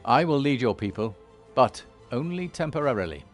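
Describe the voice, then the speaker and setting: An actor playing a resolute emissary and elder in a fantasy video game, firm, serious and authoritative. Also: male voice, mid-range voice, sing-song, moderate pace, soft background music, close to an everyday voice. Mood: solemn. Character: commanding male dragon-blooded emissary and elder, resolute hero. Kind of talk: dramatic video game acting.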